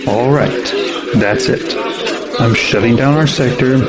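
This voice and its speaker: A man's voice: mid-range voice, unhurried, over loud music, close to an everyday voice. A man playing an alarmed, decisive air traffic controller with a blunt, urgent manner in an audio drama. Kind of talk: tense dialogue, audio drama acting. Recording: very noisy control room radio exchange.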